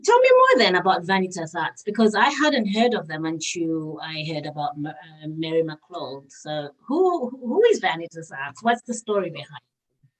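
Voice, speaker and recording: A woman's voice: fairly low pitch, very animated, moderate pace. A woman hosting a conversational interview, engaged, restating points and putting questions. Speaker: interview host. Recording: voice distant from the mic.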